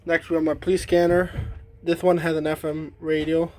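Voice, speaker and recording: A man giving a casual, unscripted demonstration as a hobbyist, halting and trailing off mid-thought. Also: fairly high pitch, level tone, background noise. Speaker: hobbyist demonstrating a weather radio collection.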